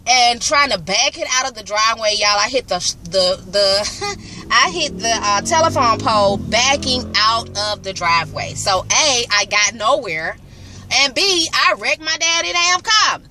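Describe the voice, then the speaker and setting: A woman's voice: on the high side, expressive, measured pace, noisy background. A young adult woman, chatting casually and rambling to camera in her car on the way home. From Birmingham, Alabama, US. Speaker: vlogger.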